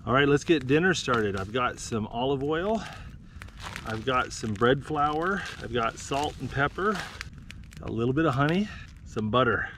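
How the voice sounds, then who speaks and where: A man in his thirties hosting an outdoor vlog, explaining bushcraft skills in a relaxed, practical way while out in a forest. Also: mid-range voice, measured pace, slight background noise. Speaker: outdoor channel host. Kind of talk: casual outdoor vlog narration. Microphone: action camera, worn on the body or close up.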